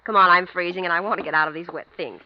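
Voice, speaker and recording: An actress playing a practical wife, brisk and teasing, on an old lo-fi radio recording. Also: female voice, on the low side, fairly even intonation, slight background noise, lightly acted. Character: practical American wife, young adult woman. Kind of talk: old-time radio drama acting.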